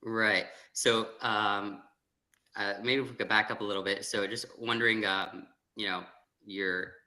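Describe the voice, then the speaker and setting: Bright, low-voiced male interviewer. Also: steady tone. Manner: hesitant, halting questions. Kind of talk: casual interview questions.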